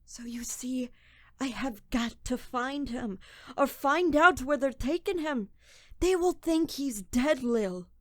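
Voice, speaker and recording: Radio drama lead: guilt-ridden young petty thief female performer, raised pitch, unhurried, brooding narration. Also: lively intonation, no room sound. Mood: troubled. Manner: brooding, dramatic first-person confession.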